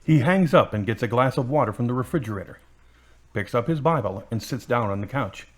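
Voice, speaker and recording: A man's voice: medium pitch, lively intonation, a little fast. A man reading scripted narration as the narrator of an audio drama.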